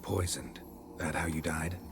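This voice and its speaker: An actor playing a stoic, suspicious, world-weary monster hunter in a video game, gravelly and terse, with deadpan delivery. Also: male voice, a little slow, over music. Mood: probing, matter-of-fact. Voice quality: gravelly, low. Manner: terse, deadpan, clipped sentences. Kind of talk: dramatic video game acting.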